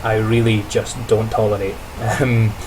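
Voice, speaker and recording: A man's voice: fairly low pitch, level tone, measured pace, noisy background. A young man, a trainee teacher, talking through his day in a casual video diary, chatty and reflective with frequent self-corrections and small laughs.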